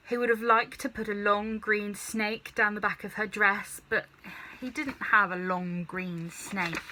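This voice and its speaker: A woman reading a children's story aloud in an expressive, dramatic, emphatic way. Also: medium pitch. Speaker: storybook reader.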